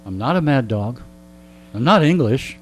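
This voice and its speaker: Wry middle-aged storyteller over a hum. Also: male voice, medium pitch, lively intonation, normal speed. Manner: wry, joking, digressive. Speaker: interview guest and storyteller. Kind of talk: casual anecdotal storytelling. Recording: clear background mains hum.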